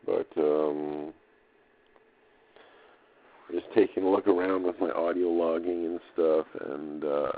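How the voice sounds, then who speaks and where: A man's voice: low voice, little pitch movement, takes it slowly, pauses often, slight background noise. A man speaking in a hesitant, repetitive, loosely drifting way with laid-back slang, recording an unscripted audio diary in dull lo-fi sound. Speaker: audio diarist.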